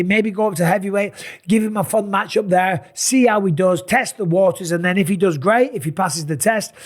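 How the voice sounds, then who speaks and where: A middle-aged man with a raspy, sore voice, tired and talking in an animated, casual way as he breaks down fight matchups. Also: high-pitched. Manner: animated, emphatic, rambling asides. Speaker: MMA analyst and channel host. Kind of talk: casual fight commentary to camera.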